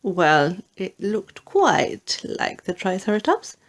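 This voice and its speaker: A woman narrating a children's storybook in an expressive, deliberate way. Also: medium pitch. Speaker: audiobook narrator.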